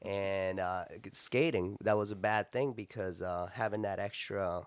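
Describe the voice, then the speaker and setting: Low smooth-voiced man. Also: steady tone, a little slow, no room sound. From Colombia. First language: Spanish. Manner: earnest, reflective storytelling. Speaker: podcast host. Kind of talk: casual interview chat.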